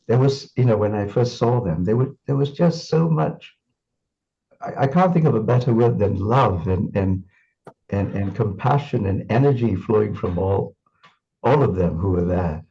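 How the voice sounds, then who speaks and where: A man's voice: mid-range voice, warm tone, dull audio. A man from Singapore reminiscing in an interview, thoughtful and full of restarts and fillers. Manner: thoughtful, repeats words, many fillers.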